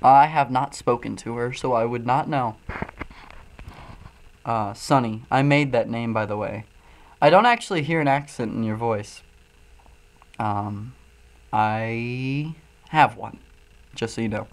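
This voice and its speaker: A man from Illinois with a Midwestern accent, loosely and profanely talking through chat comments on a livestream while high. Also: unhurried, pauses often. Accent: Midwestern American. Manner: loose, profane, frequent ums and uhs. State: high, coughing from smoking. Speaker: streamer reading chat.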